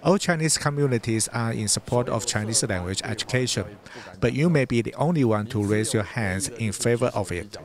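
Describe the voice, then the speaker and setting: A man giving a calm, plain voice-over translation of interview answers. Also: medium pitch, brisk.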